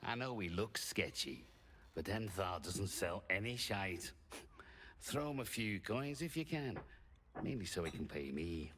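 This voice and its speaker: A man voicing a sketchy male rogue who vouches for a merchant, in a wry, low-key way. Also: lively intonation, unhurried. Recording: game audio with noise behind.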